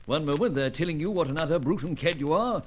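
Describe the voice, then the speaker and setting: An actor with an upper-class British accent playing a cynical, cautious gentleman colleague in an old radio drama. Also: a little high, animated, fast talker, muffled recording. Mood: bemused.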